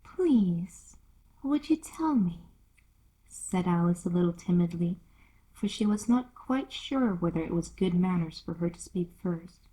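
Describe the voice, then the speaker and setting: A woman's voice: fairly low pitch, normal speed, warm tone, low-quality recording. A woman reading a classic children's story aloud as a scripted narration. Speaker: storyteller, audiobook reader.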